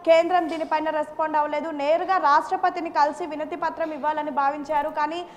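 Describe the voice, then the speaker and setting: A woman's voice: high-pitched, level tone, fast talker, big-room sound, slight background noise. A woman news correspondent giving a live, unscripted running report with repetitive connective phrasing.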